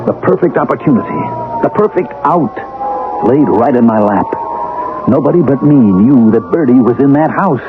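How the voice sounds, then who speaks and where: A man's voice: medium pitch, animated, moderate pace, close to an everyday voice. A man playing a guilt-ridden, weary middle-aged family man in a tense, brooding radio drama. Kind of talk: 1970s radio drama acting, first-person narration. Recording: narrowband vintage radio, music underneath.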